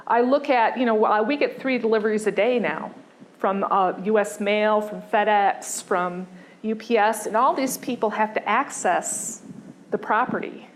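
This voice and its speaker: A woman giving a conversational design lecture, pausing often with fillers and restarting her sentences. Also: medium pitch, slight background noise, low-quality recording.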